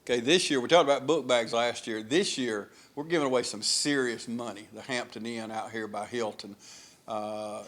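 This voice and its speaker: A man's voice: mid-range voice, steady tone, moderate pace, a bit bright. A man hosting a local TV interview, talking in a folksy, rambling, affirming way. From Dublin, US.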